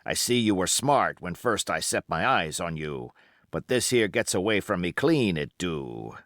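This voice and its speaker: A sly old seafaring pirate played by a male reader, all cajoling sailor talk with menace under the geniality. The pitch swoops about freely and the pace is unhurried, as in a storybook told aloud. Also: sing-song, a bit bright, no echo, studio quality, full character voice. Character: wily old male sea-cook pirate captain, genial yet menacing. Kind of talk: audiobook character acting.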